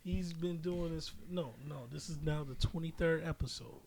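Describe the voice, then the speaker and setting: Male podcast co-host, higher register, slangy and profane storyteller, noisy recording. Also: moderate pace, darkish tone. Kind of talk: casual banter and storytelling. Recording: clear background noise, clicks.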